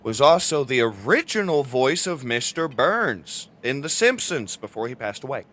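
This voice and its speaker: A man's voice: medium pitch, big pitch swings, moderate pace, a bit bright. A man co-hosting a livestream, explaining things in casual, conversational talk. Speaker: livestream co-host and guest reviewer.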